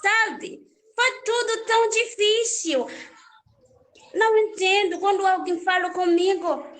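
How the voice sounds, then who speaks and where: Young Haitian woman, Haitian accent, high and unhurried; halting storyteller who repeats phrases. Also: fairly bright tone. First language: Haitian Creole. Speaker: church worship minister. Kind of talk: personal life story.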